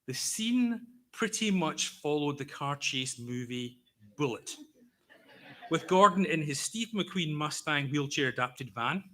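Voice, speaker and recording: A Scottish man in his fifties giving a prepared eulogy for his brother, speaking slowly and carefully with a wry, gently humorous storytelling manner. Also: a little high, animated, a crowd in the background. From Scotland. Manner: deliberately slow, careful delivery. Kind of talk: prepared memorial speech.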